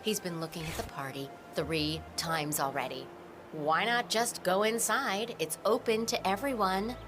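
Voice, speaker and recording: A woman's voice: lowish voice, steady tone, a little slow, background noise, lightly acted. A woman playing a nosy, suspicious suburban wife in a video game, gossipy and nagging in conversational dialogue.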